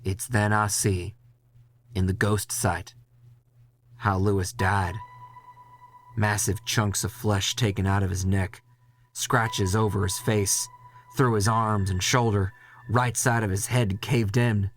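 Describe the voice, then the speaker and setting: A man reading a dramatic first-person horror story in a measured, intense way, with music behind him. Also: on the low side, flat delivery, slight background noise.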